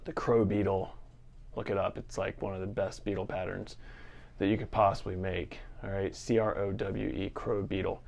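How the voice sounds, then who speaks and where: A man's voice: low voice, flat delivery, moderate pace, creaky, a little mellow. A man around his late thirties or forties giving a casual, unscripted report in an informal, conversational way, as a shop presenter. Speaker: fly shop forecast presenter. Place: fly fishing shop, indoors. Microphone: lavalier clipped at collar.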